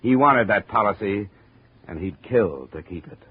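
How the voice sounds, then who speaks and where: An actor playing a tough, wry insurance investigator in 1950s radio drama, with an American accent and a terse, dry delivery. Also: male voice, fairly low pitch, expressive, moderate pace, husky. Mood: grim narration. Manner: terse, hard-boiled, dry. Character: tough wry insurance investigator, hard-boiled male detective. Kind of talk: 1950s radio drama acting with first-person narration. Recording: muffled narrow-band old radio recording, crowd noise.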